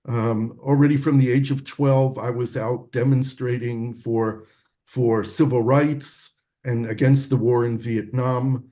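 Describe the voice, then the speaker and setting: A man in his sixties with an American accent, a guest telling his life story over a video call in a reflective, measured way. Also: medium pitch, fairly even intonation, mellow. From United States. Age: 60s. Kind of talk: storytelling interview answer.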